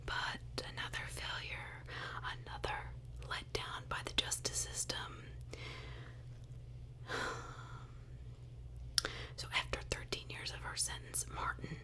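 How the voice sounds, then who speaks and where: Woman, full whisper, slow pace, ASMR true crime narration, conversational. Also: bright, forceful tone. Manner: conversational, addresses listeners with rhetorical questions.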